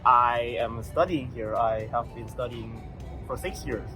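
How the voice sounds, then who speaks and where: A young man from Malaysia talks in a relaxed, halting way, restarting phrases as he explains things. His first language is Mandarin, and his pitch sits in a mid range. Music plays clearly behind him. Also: poor audio. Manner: halting, with many restarts and fillers. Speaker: guest. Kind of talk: casual conversation in English.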